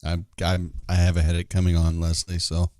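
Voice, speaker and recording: A hoarse man co-hosting a live show, chatting casually and laughing often. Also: low voice, completely flat delivery, a little fast, darkish tone, no echo. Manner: laughs a lot. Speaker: co-host.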